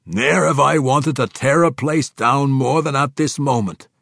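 A fierce dwarf king speaks forcefully in rustic dialect. The delivery is raised and loud, grim and hungry for a fight, recorded dry. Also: medium pitch, measured pace, creaky, no room sound, voice pushed up. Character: fierce, bloodthirsty dwarf kings, stout and grim.

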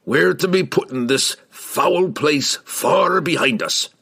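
A furious old dwarf, roars and growls in a raised, strained pitch. The words come slow and heavy, full of stubborn resolve, told like a fantasy storybook. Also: male voice, vocal fry, pushed and bright, slightly rough, full character voice. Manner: roaring, growling, old-fashioned dwarven speech. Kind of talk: audiobook character voice.

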